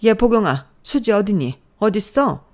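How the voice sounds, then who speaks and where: A woman voicing a domineering, furious middle-aged mother-in-law who shouts and scolds harshly. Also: mid-range voice, very animated, normal speed, voice pushed up.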